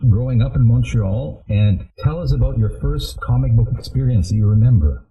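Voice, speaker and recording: An older man hosting a conversational interview, with halting, hesitant phrasing. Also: medium pitch, animated. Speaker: interviewer, host. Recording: dull lo-fi audio.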